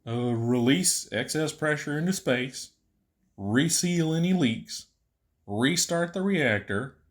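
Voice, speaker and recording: A man's voice: a little high, lively intonation, takes it slowly, voice pushed up. A man voicing a nervous, eager young alien astronaut trainee with hesitant, earnest answers in an audiobook story. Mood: earnest, reciting. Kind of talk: audiobook character voice.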